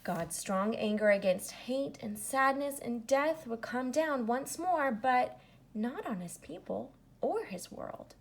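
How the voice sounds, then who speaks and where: Female storybook reader for young listeners: slow, expressive, dramatic, slightly creaky voice. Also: fairly high pitch. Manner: dramatic, with hushed emphasis. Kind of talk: expressive children's story read-aloud.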